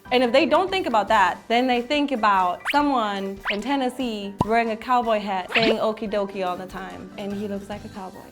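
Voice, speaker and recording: A woman's voice: medium pitch, a little fast, few pauses. A young woman from Germany, talking to camera in a candid, chatty way. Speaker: interviewee. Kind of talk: casual chat to camera. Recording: background music.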